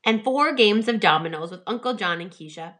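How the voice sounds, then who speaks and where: A woman with a bright, forward voice reads a children's story aloud. She narrates expressively, as a storyteller does, at a medium pitch. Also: lively intonation, fairly quick.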